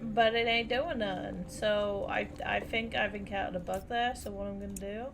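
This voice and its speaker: A woman's voice: medium pitch, fairly even intonation, normal speed. A woman giving informal let's play commentary, thinking aloud as she plays a video game. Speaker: gamer playing through a video game. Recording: clear background noise and game ambience.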